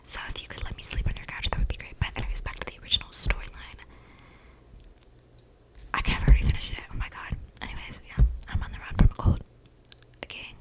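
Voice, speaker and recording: A woman playing an eccentric, flippant female vampire in an ASMR roleplay, rambling and gossiping in slangy, breathy whispers close to the mic. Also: fast, close to an everyday voice, poor audio. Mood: chatty, conspiratorial. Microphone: close mic picking up breathing.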